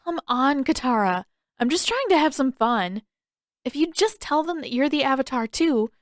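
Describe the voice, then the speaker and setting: A woman voicing an excitable, boastful young teenage boy in an audiobook, exuberant and eager. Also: high-pitched, moderate pace, no room sound, studio quality, pitched above the performer's own voice. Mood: mildly defensive. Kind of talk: audiobook character voice.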